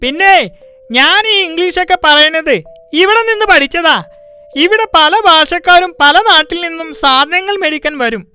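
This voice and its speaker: A woman's voice: very high-pitched, normal speed, bright, forceful tone. A woman voicing a proud male shopkeeper and father in a cartoon, who boasts, lectures and scolds. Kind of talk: cartoon dialogue. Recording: music behind the voice.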